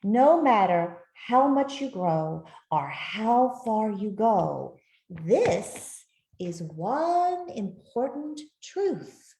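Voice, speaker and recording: A woman reading a picture book aloud to children in a gentle, expressive storytelling manner. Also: mid-range voice, very slow, a little hiss or hum. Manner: gentle, expressive, with soft asides to listeners. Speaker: author reading her own picture book. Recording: roomy, echoey sound.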